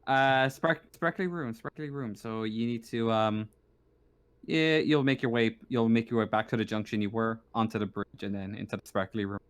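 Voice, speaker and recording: Low-voiced man running a tabletop game. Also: steady tone, moderate pace, smooth, no echo. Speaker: game master. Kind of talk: running and narrating a tabletop roleplaying game, casual.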